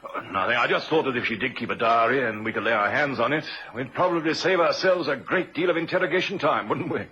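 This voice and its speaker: An actor playing a brisk, probing police inspector in a vintage radio drama, on an old recording. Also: male voice, medium pitch, bright, forceful tone, dull audio, slight background noise, lightly acted.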